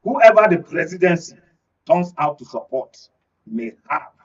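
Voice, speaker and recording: Husky Nigerian male political analyst, distant sound. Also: high voice, sing-song, unhurried. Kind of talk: political panel discussion. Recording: dull, distant studio sound.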